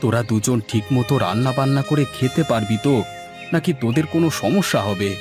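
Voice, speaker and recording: Medium pitch, man as cartoon village father, farmer, urgent, caring, insistent, clear music behind. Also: fairly quick, close to an everyday voice. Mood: concerned. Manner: insistent, explanatory, homely. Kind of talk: Bengali moral-story cartoon acting.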